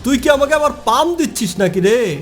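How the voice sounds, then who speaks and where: A man's voice: very high voice, expressive, fairly quick, music in the background. A man voicing a boastful, touchy giant monster in a children's cartoon, full of blustering challenges.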